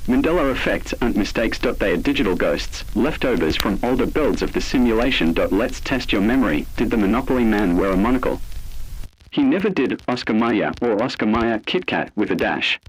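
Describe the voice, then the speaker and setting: A man delivering scripted analog horror narration in an ominous, solemn and deliberate manner. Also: on the low side, very animated, slightly rough, low-quality recording.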